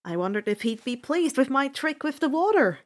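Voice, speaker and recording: A woman reading fan fiction aloud as an expressive first-person narrator, with a deliberate, dramatic delivery. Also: high-pitched.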